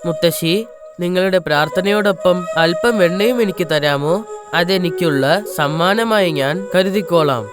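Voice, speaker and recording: A woman voicing a kind, playful, helpful village boy with divine powers in an animated moral story. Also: on the low side, a little slow, close to an everyday voice. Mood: playful request. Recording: music playing behind the voice.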